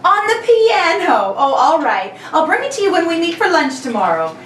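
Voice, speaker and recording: A woman playing a nervous but plucky young single lady in a 1930s radio play, exclamatory and expressive. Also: very high-pitched, normal speed, full character voice. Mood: chatty. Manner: chatty, exclamatory, defiant when pressed. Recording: roomy, distant mic, faint noise.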